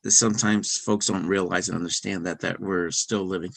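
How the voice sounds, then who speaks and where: A middle-aged man speaking as a podcast guest, talking casually with many fillers, restarts and soft laughs. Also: deep voice, steady tone, moderate pace, vocal fry, no echo. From United States. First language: English. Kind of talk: casual interview conversation.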